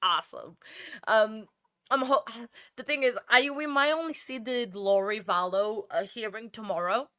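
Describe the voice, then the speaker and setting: A woman's voice: on the high side, normal speed, pushed and bright, no echo, clean studio sound. A woman with a Portuguese accent hosting a true crime livestream, chatting loosely with viewers, full of fillers and restarts. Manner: rambling, chatty, many fillers and restarts, greets chat by name. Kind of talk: casual livestream chat with viewers.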